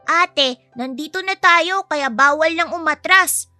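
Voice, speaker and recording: A woman's voice: sits high, measured pace, bright, forceful tone, dry recording, faint music behind, voice pushed up, exaggerated voice. A woman voicing a cheeky, sweet, outspoken young child in an audio story, with a chirpy, teasing way of talking. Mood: sweet, confident. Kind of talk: acted audio story dialogue.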